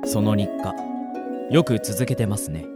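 A man's voice: on the low side, animated, measured pace. A man playing an earnest, punctilious android assistant in a radio drama, speaking in formal, polite, precise phrases. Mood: stern, businesslike. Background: music.

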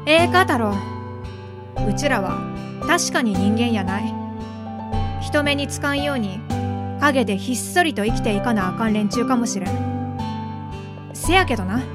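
A woman's voice: a little high, lively intonation, slow pace, pauses often. A woman playing a blunt, bossy female house spirit with a Kansai accent in a radio drama. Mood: serious, persuasive. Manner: frank, blunt. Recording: loud guitar music behind.

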